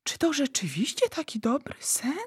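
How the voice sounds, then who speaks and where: A woman voicing a curious, timid little girl in a storybook, speaking softly and questioningly. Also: high voice, big pitch swings, moderate pace, no room sound, clean studio sound, voice pushed up. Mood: timid, puzzled. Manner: soft, questioning.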